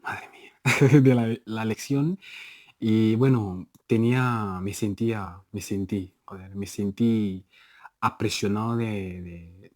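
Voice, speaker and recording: Low-voiced Nigerian man, casual vlog. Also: takes it slowly, a little mellow, no echo, studio quality. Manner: self-correcting, laughs at own slips, frequent restarts. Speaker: language-learning vlogger. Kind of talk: casual self-recorded vlog.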